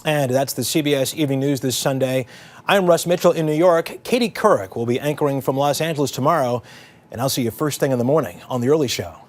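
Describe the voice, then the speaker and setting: A man's voice: mid-range voice, fast talker. Man anchoring an evening newscast. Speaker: news anchor. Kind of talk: scripted news reading.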